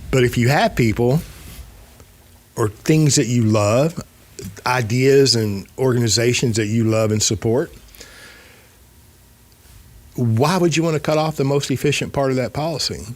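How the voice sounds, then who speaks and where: A man's voice: medium pitch, measured pace, long pauses. A man in his fifties hosting a podcast, talking casually and emphatically, repeating phrases to drive a point home. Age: 50s. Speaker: podcast host.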